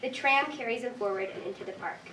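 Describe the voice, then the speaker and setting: A woman's voice: on the high side, quick pace, distant mic. A young woman reading stage directions as scripted narration at a staged reading. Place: live staged reading in a room. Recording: echoey room from afar, faint noise.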